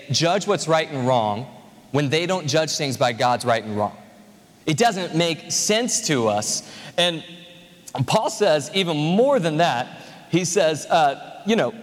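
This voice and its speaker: A man's voice: on the high side, fairly bright tone. A man in his twenties preaching a conversational, joking sermon to a congregation. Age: 20s. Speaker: pastor. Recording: reverberant hall.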